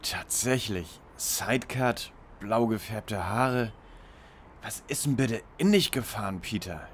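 A man's voice: lowish voice, lively intonation, slow pace, a little hiss or hum. A man playing a bossy, analytical young lead detective in a parody audio drama. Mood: groggy, matter-of-fact.

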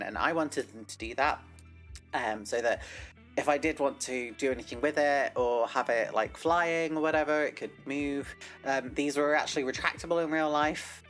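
A woman hosting a casual scale-model vlog, chatty and self-deprecating with lots of fillers. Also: a bass voice. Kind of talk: casual hobby vlog with read history segment.

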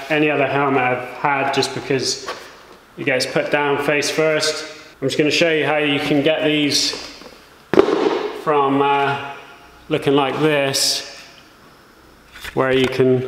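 Workshop host, thirties, unhurried, echoey shop sound with faint noise. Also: male voice, a little high. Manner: relaxed, rambling with fillers. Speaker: welding fabricator, channel host. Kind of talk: casual workshop how-to. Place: workshop with bare walls, indoors.

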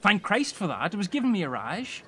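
A man's voice: high voice, big pitch swings, a little fast, a bit bright, slight background noise. A man playing a dim, petulant, foul-mouthed castaway in a silly comedy sketch. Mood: sheepish, rambling. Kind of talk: scripted comedy audio sketch.

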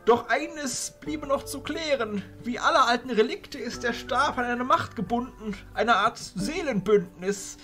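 A man playing an old, courteous illusionist arch-chancellor with a ceremonious, deliberate manner in a fantasy audio drama. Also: extremely high pitch, sharp tone, faint music behind, heavily acted. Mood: solemn, explaining.

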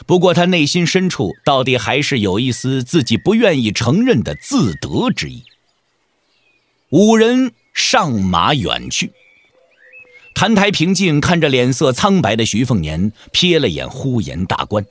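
A man's voice: mid-range voice, huge pitch swings, unhurried, dry recording. A man narrating a martial-arts audio drama in a dramatic scripted style.

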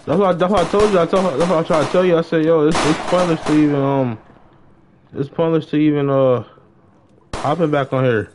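A man's voice: fairly high pitch, steady tone, fairly quick, darkish tone. A young American man chatting casually on a gaming live stream, repeating phrases and using heavy street slang. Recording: noisy live stream with game sounds.

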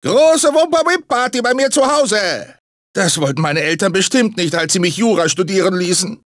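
A man's voice: high voice, animated, moderate pace, a bit bright, no room sound, clean studio sound. A man voicing a manic, grumpy talking fruit mascot in a cartoon video game, theatrical, swinging between sugary salesman and irritable grumbler. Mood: grumbling. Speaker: video game character actor.

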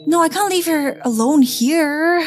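A woman voicing a worried, expressive young big sister in a horror game roleplay. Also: high voice, a little slow, soft background music. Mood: casual, concerned. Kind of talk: voiced game roleplay acting.

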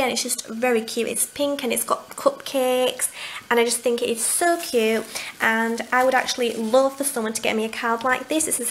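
A woman's voice: sits high, fairly bright tone, slight background noise, poor audio. A young woman in a casual haul video at home, gushing over cute finds while showing them to the camera. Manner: gushing, enthusiastic about cute items. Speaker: vlogger. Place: home, indoors at a table.

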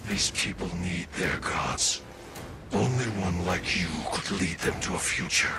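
Gravelly, commanding god-like warrior. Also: male voice, low-pitched, lively intonation, measured pace, loud music behind the voice. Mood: solemn. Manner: portentous, commanding. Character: imposing, grim god-like superhuman older male warrior. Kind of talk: dramatic video game cutscene acting.

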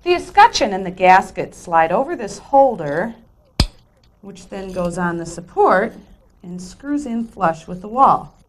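A woman's voice: medium pitch, very animated, a little slow. A woman on a renovation crew explaining each installation step in a friendly way while she works. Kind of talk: hands-on how-to instruction.

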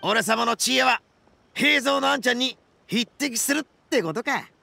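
An actor voicing a brash, boastful young male oni gang boss in a game, with rough, swaggering, melodramatic speech. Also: extremely high pitch, expressive, moderate pace, sharp tone, full character voice. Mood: smug. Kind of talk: Japanese game voice acting.

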